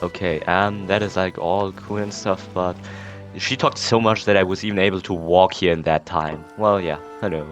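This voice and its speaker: Male gaming streamer, low voice, casual commentary, rambling reactions, faint music behind. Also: steady tone, moderate pace. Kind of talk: casual let's play commentary.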